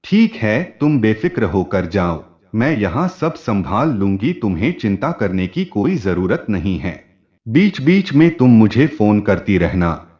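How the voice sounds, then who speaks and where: A man's voice: on the low side, big pitch swings, measured pace, darkish tone. A man voicing a caring but suspicious, emphatic woman neighbour in a family story. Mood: reassuring. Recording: dull, muffled lo-fi audio.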